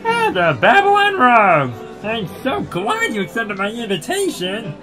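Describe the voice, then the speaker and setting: A woman's voice: a little high, huge pitch swings, unhurried, over music. A woman voicing a cheerful, eager-to-please male robot lackey in an audio drama. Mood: eager, welcoming. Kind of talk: amateur audio drama acting.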